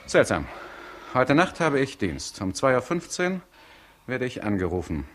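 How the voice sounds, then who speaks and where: An actor playing an ironic hospital doctor in a 1960s radio crime play, with flowery, mocking, theatrical speech. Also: male voice, medium pitch, very animated, a little slow, a bit bright, a little hiss or hum. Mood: pensive. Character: witty, ironic hospital doctor teasing his pupil. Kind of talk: 1960s German-language radio crime drama acting.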